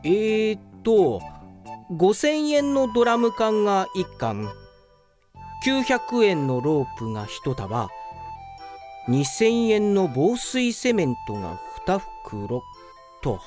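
A woman's voice: mid-range voice, big pitch swings, slow pace, over music. A woman playing a lazy, smug young male office worker in a comedic radio drama, with an earnest, matter-of-fact manner.

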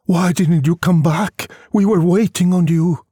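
A man playing a weary, bewildered husband and father in a story, questioning his wife in a husky, tired voice. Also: sits high, steady tone, measured pace, warm tone, no room sound, studio quality, voice pushed up. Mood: bewildered, urgent.

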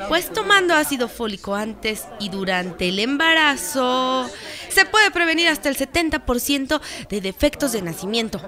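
High, earnest Mexican village woman. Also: very animated, moderate pace, a crowd in the background. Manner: emphatic, earnest. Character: firm, friendly, earnest village woman, eager pupil. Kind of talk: radio soap acting.

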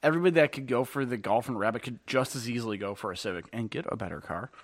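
A man in casual conversation, loose and rambling, quick to laugh and tease. His voice sits at an ordinary middle pitch, relaxed and unforced. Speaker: podcast co-host. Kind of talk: casual car chat.

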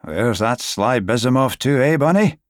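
A man voicing a wry, grumbling Highland sheep farmer in an audiobook, musing and muttering with a Scottish accent. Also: lively intonation, moderate pace, no room sound, clean studio sound, lightly acted. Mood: suspicious. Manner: musing, dialect-laced muttering to his dog.

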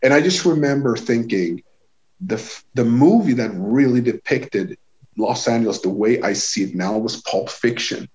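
A man's voice: medium pitch, lively intonation, moderate pace, darkish tone. A Swedish man in his forties with a Swedish accent, a guest musician talking casually, rambling and restarting his sentences. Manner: rambling, many restarts and repeated words. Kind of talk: casual conversation. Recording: lo-fi dull sound.

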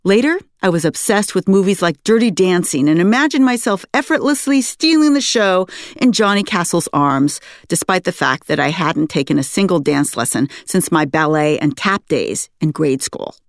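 An American woman, an author reading her own memoir aloud in an articulate, expressive storytelling manner. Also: fairly low pitch, moderate pace, few pauses, no room sound, clean studio sound. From United States, West Coast. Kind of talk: scripted memoir narration.

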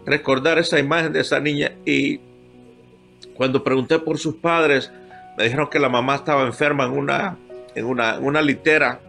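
Older Honduran pastor guest, halting heartfelt testimony, faint guitar bed. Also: male voice, mid-range voice, fairly even intonation, creaky, a bit bright. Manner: heartfelt, halting, moved to tears at times. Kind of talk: emotional personal testimony.

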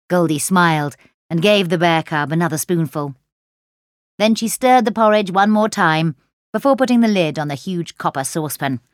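Lady reading a kids audiobook, lowish voice, expressive storytelling, really dry recording. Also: no room sound. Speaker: audiobook narrator. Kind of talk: scripted children's story narration. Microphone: close studio microphone.

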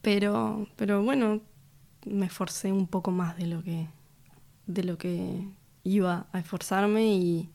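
A woman answers interview questions about her music. She speaks thoughtfully and hesitantly, often pausing on fillers and restarting her sentences, and laughs easily between thoughts. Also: mid-range voice, fairly even intonation, vocal fry, mellow. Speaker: musician and record producer. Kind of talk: casual interview conversation.

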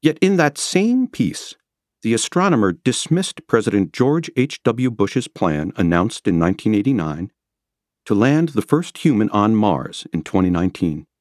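A man reading nonfiction as a scripted audiobook narration, with measured, rhetorical and emphatic phrasing. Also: fairly low pitch, sing-song, darkish tone, no room sound, studio quality. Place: close, dry studio recording.

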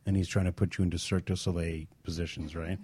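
A man's voice: low voice, flat delivery, fast, warm tone, breathy edge. A man chatting casually on a talk show, inquisitive and agreeable.

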